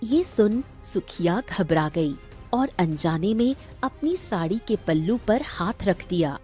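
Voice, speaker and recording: Woman reading a cartoon story, slow and animated, kinda husky, music underneath. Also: medium pitch. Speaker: story narrator. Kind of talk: scripted storytelling narration for a cartoon.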